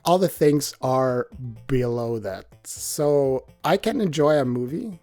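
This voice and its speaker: Brief: male podcast guest, thirties, easygoing and chuckly, dry sound, soft music underneath. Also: medium pitch, takes it slowly, dry recording. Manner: relaxed, chuckling, nostalgic. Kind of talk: casual podcast interview.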